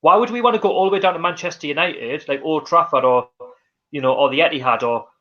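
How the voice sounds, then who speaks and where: A quick, chatty Geordie man hosting a live stream, talking in a slightly higher voice than most men. He reads comments and riffs on them with plenty of "uh" and "you know", and the audio is dull and lo-fi. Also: sharp tone. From North East England. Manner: reads viewer comments aloud and reacts, chatty with many fillers. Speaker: livestream host. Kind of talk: casual live-stream chat.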